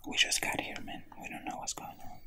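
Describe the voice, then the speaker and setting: Male, breathy whisperer for unscripted ASMR role play, hushed and puzzled, repeats himself in short phrases. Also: brisk.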